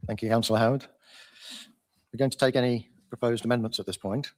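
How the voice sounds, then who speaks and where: A man's voice: medium pitch, steady tone, fast talker, darkish tone. A man chairing a council meeting, formal but hesitant, often repeating his words.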